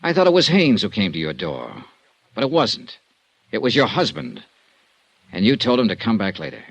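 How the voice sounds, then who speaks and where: A dry hard-boiled insurance investigator, 50s radio play, lively sarcastic delivery, dead dry sound. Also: male voice, fairly low pitch, vocal fry, husky, no room sound, muffled recording, full character voice. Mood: accusing. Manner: clipped, sardonic, deliberate. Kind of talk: 1950s radio drama acting.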